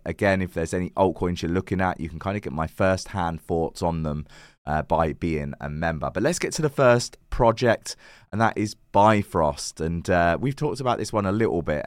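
Croaky low-voiced man talking crypto. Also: no echo, clean studio sound. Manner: chatty, loose, frequent fillers and self-corrections. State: croaky, recovering lost voice. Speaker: crypto channel host. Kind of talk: casual to-camera crypto commentary.

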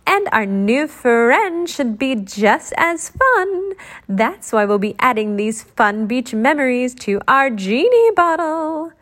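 A cheerful young genie girl with a high, animated voice, played by a woman reading aloud. She explains things earnestly and slowly, in storybook fashion. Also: exaggerated voice. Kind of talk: children's storybook character voice.

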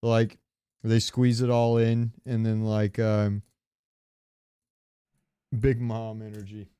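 A man's voice: fairly low pitch, completely flat delivery, slow, warm tone, clean tone, no room sound, clean studio sound. A man co-hosting a casual gaming podcast, talking in a rambling way with meandering asides. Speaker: podcast co-host.

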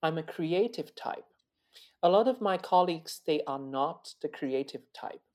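A man's voice: lively intonation, unhurried, a little mellow. A man, a guest opera singer talking earnestly and reflectively in an interview, often restarting and repeating words. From China. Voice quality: high male voice. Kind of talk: interview conversation.

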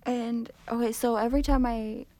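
A woman's voice: on the high side, steady tone, normal speed, dark tone. A young woman hosting a student podcast. She asks open questions in a friendly way and backs up the other speakers with frequent murmurs. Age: young adult. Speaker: podcast host. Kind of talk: casual panel discussion.

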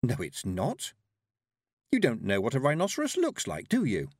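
A man's voice: medium pitch, huge pitch swings, moderate pace, a little mellow, dry recording, studio quality, pitched above the performer's own voice. A man voicing a plucky, clever young apprentice witch, an earnest and direct girl in a storybook reading. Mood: bold.

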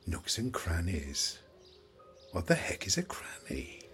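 A man's voice: sits low, big pitch swings, fairly bright tone, husky, faint music behind, exaggerated voice. An actor playing a forgetful, wry old man who rambles and questions himself in an audio play monologue. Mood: wry, musing. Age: older. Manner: rambling, halting, self-questioning.

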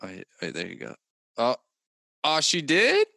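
A man hosting a casual podcast, excitable and swearing freely, with lots of "dude.". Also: mid-range voice, normal speed, gravelly, dry recording, clean studio sound.

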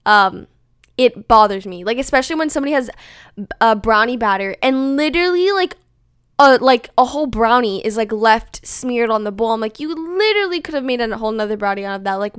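A young woman chats on her own podcast, animated and exasperated, full of likes and ums. Her voice sits a little high and sounds smooth and crisp. The close home mic gives a dry sound with no room echo. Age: young adult. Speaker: podcast host. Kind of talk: casual solo podcast chat.

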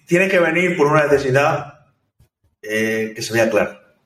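A man, a software developer, talking casually in an interview, thoughtful and hesitant, with many restarts. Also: mid-range voice, expressive, a little slow. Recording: echoey room, distant from mic.

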